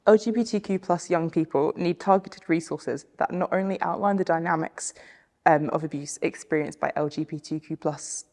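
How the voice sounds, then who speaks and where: A woman's voice: deep voice, moderate pace, a little mellow, in a large hall. A young woman giving a prepared informational presentation for a training film. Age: young adult.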